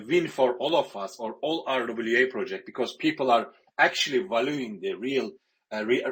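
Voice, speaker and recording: A man from Turkey, moderating an online panel with halting speech full of filler sounds. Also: medium pitch, fairly bright tone. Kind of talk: online audio panel chat.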